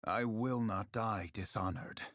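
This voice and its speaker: A man's voice: fairly low pitch, a little slow, a bit bright, dry recording, studio quality. An actor voicing a weary, honor-haunted male swordsman from a video game, with terse, solemn and wry delivery. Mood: grim, defiant. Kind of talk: video game character voice lines.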